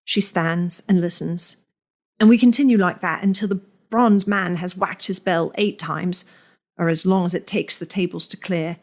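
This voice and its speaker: A woman reads a first-person story aloud. Her voice sits somewhat low, and her delivery is deliberate and measured, with lingering pauses between phrases. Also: fairly even intonation. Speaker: narrator.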